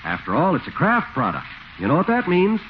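A man delivering a hearty, emphatic commercial pitch as an old-time radio announcer. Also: huge pitch swings, fairly quick. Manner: hearty, smooth-selling, emphatic. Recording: muffled, hissy vintage radio recording.

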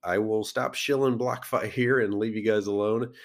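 A man's voice: on the low side, steady tone, normal speed. A man hosting a crypto podcast, rambling casually with many fillers while sick and losing his voice. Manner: rambling, lots of fillers and restarts.

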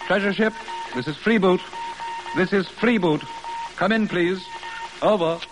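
Eager army radio man, higher voice, clipped urgent calls, old time radio play. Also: a little slow, low-quality recording. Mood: brisk, alert. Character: eager male radio operator calling over static. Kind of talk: 1950s radio drama acting. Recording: old radio broadcast over music.

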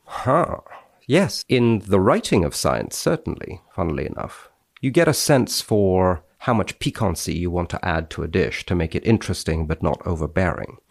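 A man speaking as a podcast guest, wry and expressive, with a dryly playful touch. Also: low-pitched, moderate pace, gravelly, slightly rough. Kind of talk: dramatic reading and wry conversation.

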